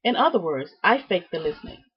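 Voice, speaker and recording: A woman giving a prepared student presentation, reading from notes with deliberate, emphatic phrasing and halting repeats. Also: fairly low pitch, sing-song, husky. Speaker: student presenting a class project. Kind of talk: prepared speech, read from notes. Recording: dull, muffled lo-fi sound.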